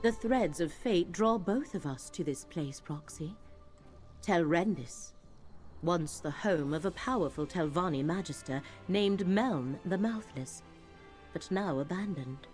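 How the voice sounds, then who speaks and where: A woman acting a solemn, mystical companion in a fantasy video game, speaking in a formal, measured, cryptic way. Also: on the low side, animated, a little mellow. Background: game music.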